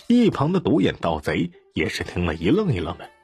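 A man's voice: low voice, wildly swooping pitch, brisk, darkish tone, husky, soft background music. A man narrating a scripted audiobook story.

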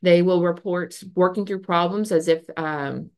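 Woman, thirties, lowish pitch, chatty educator style with fillers, recorded on a video call. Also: unhurried, a little mellow. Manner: conversational, many fillers, gestures with her hands. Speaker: educator explaining research. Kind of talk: casual explanatory lecture. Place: home office with bookshelf. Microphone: webcam on a video call.

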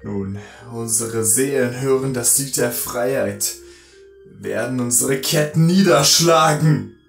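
Man playing a gravelly, bitter robot. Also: mid-range voice, slow, faint music behind. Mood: enraged, vengeful. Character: bitter, enslaved male robot turning vengeful. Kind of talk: scripted audio drama.

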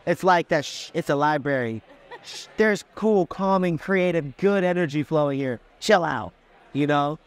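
A man's voice: on the high side, normal speed. A man in his thirties hosting a casual interview at an expo booth, chatty and enthusiastic with loose restarts.

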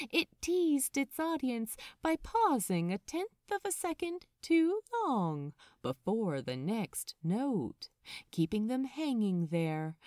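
Slow, expressive woman reading a story aloud. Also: fairly high pitch, no echo, clean studio sound. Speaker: audiobook narrator. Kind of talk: scripted fan fiction narration, storytelling.

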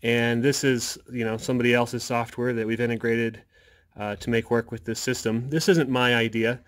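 A man with a mid-pitched voice gives an informal tech walkthrough. He sounds like a hobbyist presenter explaining his own setup step by step. He is recorded on a handheld phone from behind the camera. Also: steady tone, normal speed, fairly bright tone. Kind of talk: casual hands-on tech walkthrough.

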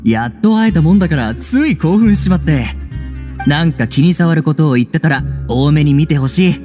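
Actor as an excitable, animated male shopkeeper. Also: a little high, brisk, faint music behind, close to an everyday voice. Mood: apologetic. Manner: casual rough speech, fawning, excitable. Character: chatty, humble young male shopkeeper, eager admirer. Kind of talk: Japanese video game voice acting.

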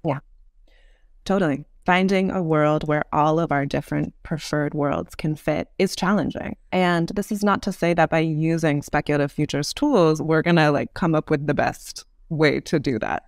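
A woman speaking as an expert interview guest, explaining in long, measured, articulate sentences. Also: deep voice, dry recording, clean studio sound. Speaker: podcast guest, author and urban design expert.

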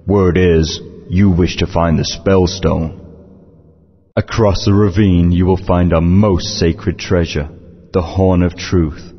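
An actor playing a solemn dinosaur tribe elder who speaks in a grave, ceremonial way, in a lo-fi game recording with long reverb. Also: male voice, low voice, flat delivery, takes it slowly, husky, exaggerated voice.

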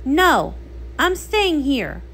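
A woman's voice: high-pitched, very animated, very slow, a bit bright, heavily acted. A woman voicing a passionate, defiant young sea pony with an urgent, emotional manner.

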